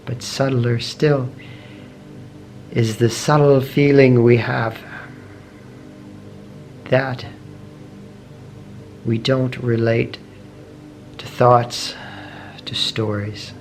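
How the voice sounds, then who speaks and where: A man guides a meditation at a very slow, measured pace. His medium-pitched voice has plenty of gravelly fry. He speaks gently in short phrases with long pauses, over soft music. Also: flat delivery. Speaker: meditation teacher.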